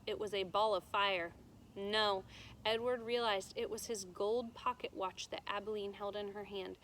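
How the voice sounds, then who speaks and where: A woman teacher reading a children's storybook aloud in a deliberate, expressive way, explaining things to her students. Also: on the high side, slight background noise, low-quality recording.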